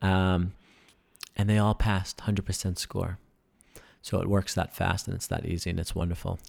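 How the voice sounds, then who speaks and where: A man with a low, gravelly voice, talking eagerly on a podcast. He explains things at length, with plenty of ums and uhs. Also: flat delivery, moderate pace, darkish tone. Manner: enthusiastic, explanatory, many fillers. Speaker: podcast guest, breathing workshop instructor. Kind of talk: casual podcast conversation.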